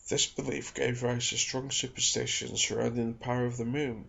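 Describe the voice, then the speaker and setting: A man reading aloud from a book in ASMR style, soft-spoken, hushed and measured, recorded in a small room at home. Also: mid-range voice, little pitch movement, creaky, highs cut off, slight background noise. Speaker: ASMR reader and channel host. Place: small home studio in a back bedroom.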